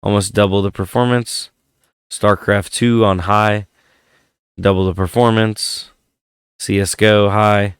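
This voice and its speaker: A man's voice: on the low side, level tone, takes it slowly, pauses often, smooth, dry recording, studio quality. A man hosting a casual hardware vlog, rambling and thinking aloud, his voice worn from a head cold. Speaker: tech channel host.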